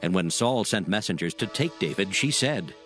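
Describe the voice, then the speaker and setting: A man's voice: low voice, animated, normal speed, a bit bright, faint music behind. A man narrating a dramatized scripture reading in solemn, formal archaic phrasing.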